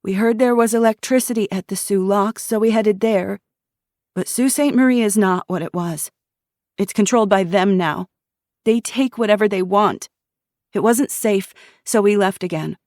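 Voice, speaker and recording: A woman's voice: mid-range voice, moderate pace, no echo, studio quality, pitched above the performer's own voice, lightly acted. A woman narrator voicing a young refugee woman, a nursing student who tells steadily of her town being attacked. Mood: weary. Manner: weary, steady.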